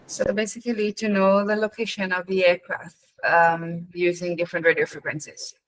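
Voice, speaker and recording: A woman student giving a halting, hesitant answer to her instructor. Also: on the low side, little pitch movement, poor audio.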